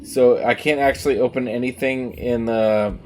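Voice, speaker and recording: A male streamer chatting loosely over his gameplay, cracking jokes and wandering off mid-sentence. His voice sits at an ordinary middle pitch, with a faint hiss of noise behind him. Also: fairly even intonation, moderate pace, warm tone. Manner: joking, rambling. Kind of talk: casual gameplay commentary.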